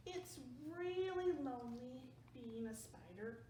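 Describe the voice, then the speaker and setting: A woman voicing a lonely little spider who wants a family, read slowly and eagerly from a storybook. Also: high-pitched, lively intonation, mellow. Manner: slow, eager storybook reading. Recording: roomy, distant mic, faint background hum.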